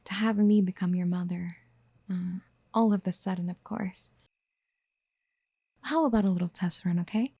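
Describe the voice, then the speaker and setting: A woman playing a doting, obsessive would-be mother in a soft-spoken ASMR roleplay, breathy and often near a whisper, tenderly coaxing. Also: lowish voice, normal speed, pauses often, no echo. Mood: gentle, hesitant. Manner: tender, coaxing, hesitant pet-name murmuring. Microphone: close microphone in a dry, quiet space.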